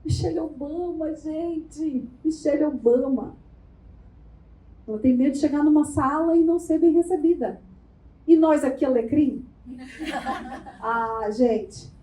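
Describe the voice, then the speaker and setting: A Brazilian woman giving a lively networking workshop, talking playfully and firing quick questions at the room. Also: high-pitched, slight background noise. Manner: animated, playful, asks the room rapid questions. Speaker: workshop speaker. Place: event room before a live audience. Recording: echoey room.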